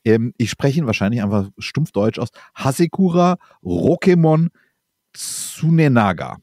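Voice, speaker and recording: A man's voice: medium pitch, lively intonation, a little slow, a little mellow, dry recording, clean studio sound. A German man co-hosting a casual history podcast, explaining chattily with fillers and restarts. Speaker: podcast co-host presenting the episode.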